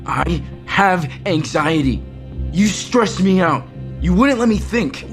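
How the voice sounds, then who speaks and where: An actor playing an anxious, defensive, resentful chief executive in an audio soap opera, scattered and stammering. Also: male voice, high voice, animated, slow, faint music behind, full character voice. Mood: angry, accusing. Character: anxious, defensive male CEO, rambling, resentful younger brother type.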